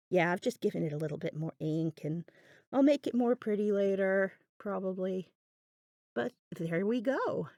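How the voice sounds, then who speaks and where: A woman's voice: medium pitch, a little mellow, no room sound, studio quality. A woman hosting a craft video in a casual, unscripted voiceover, musing aloud in a chatty, self-deprecating way. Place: indoors, voice-over recorded apart from the video.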